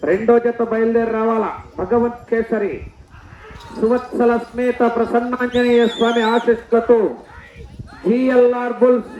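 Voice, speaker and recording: High-pitched male race announcer. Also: little pitch movement, muffled recording, a little hiss or hum. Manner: loud, declamatory calling with drawn-out cries. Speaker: announcer at a bull-pulling race. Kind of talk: live race commentary with announcements and rallying cries.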